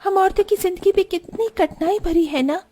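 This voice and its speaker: A woman voicing a weary, poor village daughter-in-law, plaintive and earnest, in an animated family story. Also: very high-pitched, a little fast, slight background noise. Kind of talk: animated Hindi storybook dialogue.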